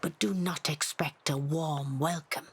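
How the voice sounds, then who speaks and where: Slow, portentous elder seer woman. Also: sits low, fairly even intonation, noisy background. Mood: ominous, proud. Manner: portentous, haughty, deliberate. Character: proud, mysterious elder seer woman of travelling folk. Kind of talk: fantasy game dialogue acting.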